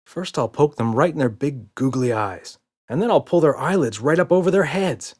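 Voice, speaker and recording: A man's voice: on the high side, lively intonation, normal speed, darkish tone, pitched above the performer's own voice. A man voicing a playful, reassuring father in a children's audiobook.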